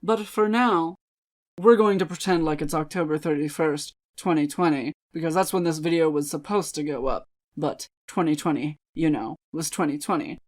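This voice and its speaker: A woman's voice: low voice, normal speed, darkish tone. A woman talking casually to her listeners as a video creator, rambling and poking fun at herself. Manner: rambling, self-deprecating. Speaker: video creator and dramatic reader.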